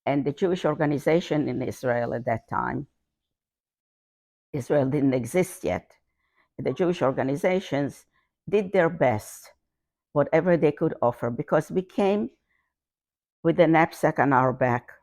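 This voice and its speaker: An older woman from Hungary, giving a halting personal account with frequent repeated words. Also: low-pitched, darkish tone, dry recording, studio quality. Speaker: interviewee. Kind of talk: personal testimony.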